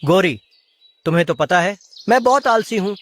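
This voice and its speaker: An actor plays a lazy male crow from a children's cartoon, a bullying husband. The voice sits at a middling pitch, with a smug and menacing way of talking. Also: expressive, moderate pace, close to an everyday voice. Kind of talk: Hindi children's cartoon character voice.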